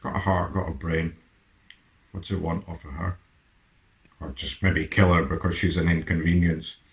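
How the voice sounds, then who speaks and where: A man's voice: low-pitched, fairly even intonation, moderate pace, slight background noise. A man hosting a reaction video with casual running commentary, musing aloud in terse remarks with the odd swear word.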